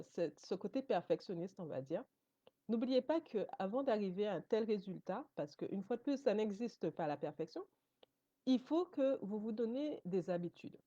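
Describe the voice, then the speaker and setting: A woman's voice: medium pitch, mellow, no room sound, lo-fi sound. Encouraging native English-speaking woman coach. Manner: encouraging, addresses viewers directly, often checks in with "ok?". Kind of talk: motivational coaching talk to viewers.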